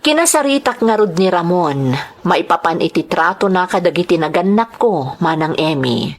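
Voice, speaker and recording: A woman's voice: on the low side, lively intonation, moderate pace. A woman with an Ilocano accent acting a distressed young married daughter in an audio drama, speaking in an earnest, emotional way. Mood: sorrowful, confiding.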